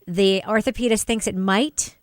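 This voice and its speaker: A middle-aged woman with a clear, smooth voice, co-hosting a podcast and telling personal stories in casual chat. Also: mid-range voice, steady tone, moderate pace, dry recording, clean studio sound. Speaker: podcast co-host.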